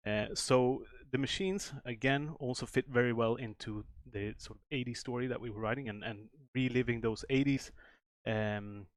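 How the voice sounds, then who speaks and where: A man from Sweden, a game developer explaining at length in a stream interview, with many pauses and restarts. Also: medium pitch, fairly even intonation, no echo.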